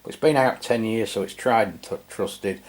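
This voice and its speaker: A man's voice: lowish voice, moderate pace. A middle-aged man with an English accent, giving a casual product review in a hesitant, rambling, matter-of-fact way. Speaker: radio review channel host.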